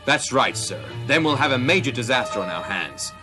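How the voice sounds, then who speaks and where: A man's voice: medium pitch, big pitch swings, moderate pace, music in the background, lightly acted. A man playing a dutiful, earnest robot officer in a dubbed cartoon. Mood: urgent, earnest.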